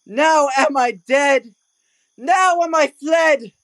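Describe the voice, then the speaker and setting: Audio-play role: doomed lover, highish voice, very drawn-out, hammy declaiming, grand lamenting. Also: female voice, fairly bright tone, dry recording, studio quality. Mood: melodramatic dying. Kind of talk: Shakespearean audio play acting.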